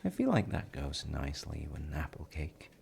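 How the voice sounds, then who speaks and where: A man plays a cozy, playful dad in an audio roleplay. His voice is extremely low with a gravelly creak, and he muses softly and reassuringly, as if to someone right there. Kitchen noise of sizzling and stirring runs behind him. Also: wildly swooping pitch, moderate pace, exaggerated voice. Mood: cozy, musing. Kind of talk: intimate audio roleplay, addressing the listener. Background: frying sizzle and kitchen sounds.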